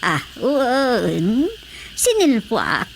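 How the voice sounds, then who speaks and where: Royal wife for radio comedy: vain, drawling, unhurried, mid-pitched, slightly noisy recording. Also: female voice, big pitch swings, gravelly, full character voice. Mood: self-satisfied. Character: vain, chattering comic queen, king's wife. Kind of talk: Ilocano comedy radio drama acting.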